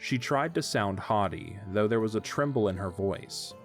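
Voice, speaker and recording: Low-voiced man narrating a story. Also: animated, moderate pace, faint music behind. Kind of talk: scripted audio drama narration.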